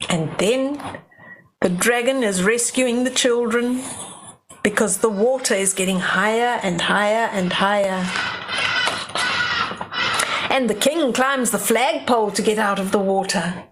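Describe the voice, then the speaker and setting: A woman's voice: medium pitch, measured pace, long pauses, background noise. An older woman reading a storybook aloud to young children, dramatic, with simple explanatory asides.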